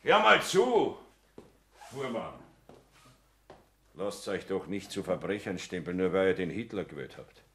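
A man acting a fervent, combative nationalist agitator with a gravelly voice in a 1960s German radio play. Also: low-pitched, big pitch swings, measured pace, long pauses, fairly bright tone, heavily acted. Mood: preachy, urgent.

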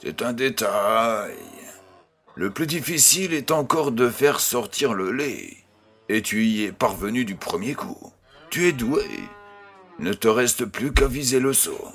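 A man's voice: medium pitch, animated, a little slow, fairly bright tone, faint music behind. An actor playing an affectionate old farmer grandfather, patient and encouraging, in a French audio drama.

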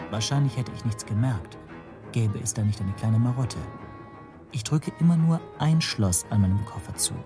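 Troubled storyteller in a radio play, lowish voice, measured and brooding, music under it. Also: male voice, vocal fry. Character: troubled, self-reproaching male narrator recounting spy intrigue. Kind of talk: scripted first-person narration in radio drama.

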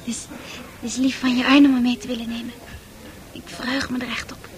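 A woman's voice: fairly high pitch, level tone, fairly quick, lightly acted. An actress playing a troubled eighteen-year-old girl in a radio play, hesitant and wistful, guarded but longing to escape. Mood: hopeful, determined. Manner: hesitant, wistful delivery with repeated words.

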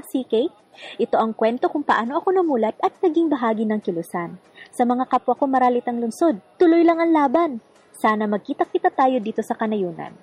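A woman's voice: fairly high pitch, husky. A woman hosting a radio drama, reading scripted narration and listeners' letters. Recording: faint music behind the voice. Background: soft background music.

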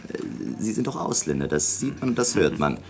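Deep-voiced, sardonic island local. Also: male voice, big pitch swings, fairly quick, vocal fry. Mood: nosy. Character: mocking, talkative male island local, cynical about his society. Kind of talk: 1960s German radio play acting.

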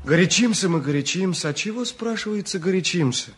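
A smug young man in a mid-century radio drama. His husky voice sits a little high, and he talks down to people with defensive irony. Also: animated, brisk. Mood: condescending. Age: young adult. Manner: ironic, condescending. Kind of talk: 1950s Soviet radio drama acting.

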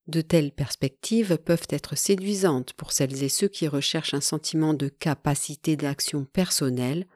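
A woman narrating a nonfiction audiobook in a measured, even reading style. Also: low voice, no room sound, studio quality.

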